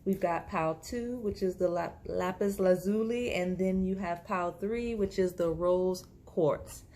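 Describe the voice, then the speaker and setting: A woman, slightly hoarse, chatting casually through a tarot reading to camera, with lots of fillers and restarts. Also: on the low side, measured pace, dark tone. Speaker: tarot reader.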